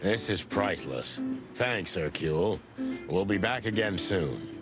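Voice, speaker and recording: An actor playing a world-weary, wry rooster detective in a noir video game, with a dry, hard-boiled manner. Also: male voice, low-pitched, animated, unhurried. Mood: dry, friendly. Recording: music under the voice.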